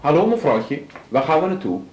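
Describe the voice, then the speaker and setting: An officious nursing-home porter in a Dutch radio drama. His voice is fairly high and rings in a roomy hall. He talks in a flippant, mock-formal, wordy way. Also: big pitch swings, a little fast, a little mellow. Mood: officious, sardonic. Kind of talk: naturalistic 1980s radio drama acting. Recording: roomy, echoing studio scene.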